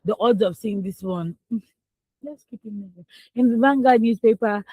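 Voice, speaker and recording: A woman's voice: fairly high pitch, normal speed, breathy edge, no room sound, poor audio. Emphatic Nigerian woman radio co-host. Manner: animated, emphatic, exclamatory, laughs between points. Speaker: radio news review co-host. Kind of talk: newspaper review with opinionated commentary. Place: radio studio.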